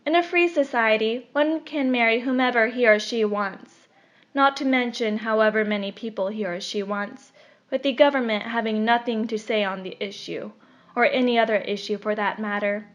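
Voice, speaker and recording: A woman reading a scripted opinion article aloud in a measured, formal delivery. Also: a little high. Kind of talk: scripted narration. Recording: dull, lo-fi recording.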